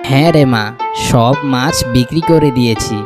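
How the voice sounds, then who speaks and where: A man's voice: medium pitch, moderate pace, over loud music, lightly acted. A man voicing a humble village farmer, an affectionate father and husband, in a cartoon. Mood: businesslike.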